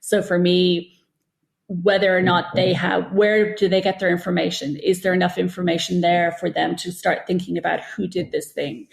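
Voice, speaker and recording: A woman's voice: on the low side, creaky, a little mellow. A woman giving thoughtful, opinionated interview answers in long, flowing, conversational explanations. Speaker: guest author interviewed about writing. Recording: echoey, roomy sound.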